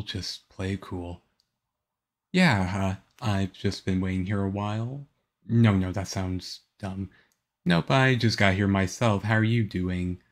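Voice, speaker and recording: A man playing a shy, nervous teenage-looking vampire boyfriend in an ASMR roleplay, halting and stammering awkwardly. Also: lowish voice. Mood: nervous, self-coaxing. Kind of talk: ASMR audio roleplay, one-sided conversation.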